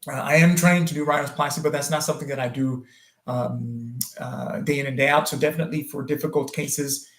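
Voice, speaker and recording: A man's voice: mid-range voice, fairly even intonation, fairly quick, fairly bright tone. A man whose first language is French, a surgeon hosting a casual livestream Q&A, talking loosely with many ums and restarts. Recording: roomy echo.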